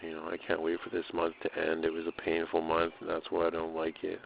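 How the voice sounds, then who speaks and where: Deep-voiced man rambling on a lo-fi recording. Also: monotone, fairly quick, vocal fry, slight background noise. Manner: loose, slangy, swearing, repeats himself. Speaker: personal audio diarist. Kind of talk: casual rambling audio diary.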